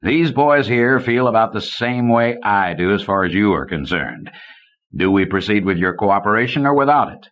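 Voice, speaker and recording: A man voicing a smug, ruthless banker villain in an audiobook, oily and smoothly menacing. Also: on the low side, animated, moderate pace, close to an everyday voice. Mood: cold threat. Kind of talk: audiobook character dialogue. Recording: dull lo-fi old cassette recording.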